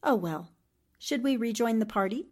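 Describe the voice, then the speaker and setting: Woman acting a poised, high-voiced lady sleuth. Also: lively intonation, normal speed, a little mellow. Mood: curious. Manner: polished, arch, teasing endearments. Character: poised, shrewd, witty newspaperwoman amateur sleuth. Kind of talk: 1940s radio drama acting.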